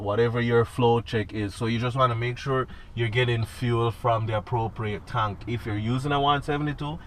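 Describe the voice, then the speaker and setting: A man with a Jamaican accent, a student pilot talking through a cockpit checklist in a casual instructional vlog and often asking "right?". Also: mid-range voice. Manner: talks through a checklist, tagging lines with "right?". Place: inside a small airplane cockpit on the ground.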